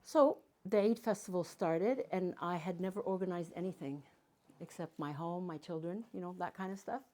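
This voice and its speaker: Older woman, guest speaker. Lower voice, chatty, tells stories with playful asides. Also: moderate pace, dark tone. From Canada. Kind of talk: casual talk to a live audience.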